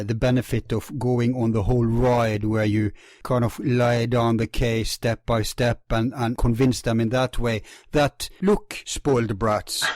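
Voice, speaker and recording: A male podcast host with a mid-pitched voice speaks deliberately, turning to address his listeners directly. His voice is recorded dry with no room sound. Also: darkish tone, no room sound. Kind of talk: interview hosting.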